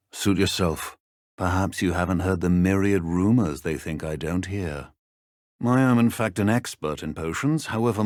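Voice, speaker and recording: An actor playing a stern, middle-aged wizard professor in a video game, speaking formal British English in a measured, dry manner. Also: male voice, low voice, a little mellow, no room sound. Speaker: professor character in a fantasy game. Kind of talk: scripted video game character dialogue.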